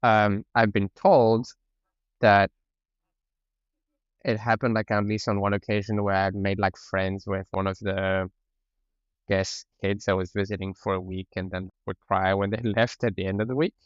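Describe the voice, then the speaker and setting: A man's voice: lowish voice, little pitch movement, moderate pace, smooth, no echo, highs cut off. A man with a mixed French and South African accent, talking as a podcast guest in an easygoing way, often laughing. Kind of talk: casual conversational interview.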